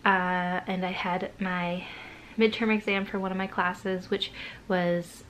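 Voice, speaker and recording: A woman's voice: mid-range voice, steady tone, unhurried, a little hiss or hum, rough recording. A young woman with a stuffy nose from a cold, chatting casually to camera in a rambling, self-deprecating way. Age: young adult. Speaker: writing vlogger.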